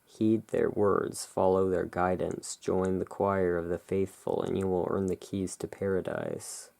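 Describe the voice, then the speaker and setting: A soft-spoken ASMR host with a low-pitched, creaky voice. The delivery is very slow and hushed, drifting between gentle musing and measured reading, as part of a relaxing roleplay. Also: animated. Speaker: host, playing curiosity emporium owner.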